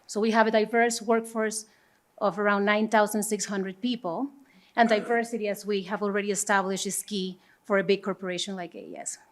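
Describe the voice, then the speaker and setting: A woman's voice: mid-range voice, little pitch movement, a little fast. A woman from Panama, a native Spanish speaker and corporate executive, talking on a conference panel before an audience.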